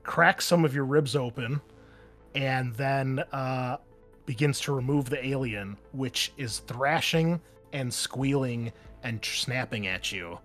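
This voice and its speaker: A man's voice: mid-range voice, takes it slowly, fairly bright tone, faint music behind. A man running a tabletop game as game master, narrating casually and graphically in informal, sometimes profane language.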